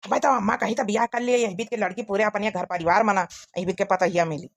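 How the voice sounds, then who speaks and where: A woman's voice: mid-range voice, fairly even intonation, quick pace, gravelly. A woman voicing an eager, smitten young village son with an Awadhi accent in a comedy cartoon. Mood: smitten, insistent. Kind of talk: rural comedy cartoon dialogue.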